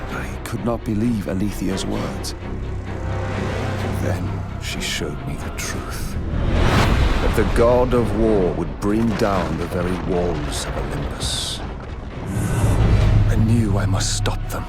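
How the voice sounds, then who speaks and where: A man voicing a grave, earnest demigod oath keeper in a video game, speaking in a solemn, measured way. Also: low-pitched. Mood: sorrowful, solemn. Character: grave, sorrowful male oath keeper, rebellious demigod son. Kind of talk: cinematic video game acting. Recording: loud orchestral music behind. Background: loud game score.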